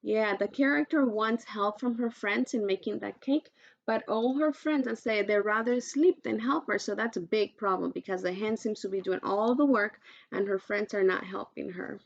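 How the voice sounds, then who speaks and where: A woman teacher reading aloud to children in an instructive way, pausing to pose questions, on a dull recording. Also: mid-range voice, vocal fry. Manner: instructive, poses questions to listeners.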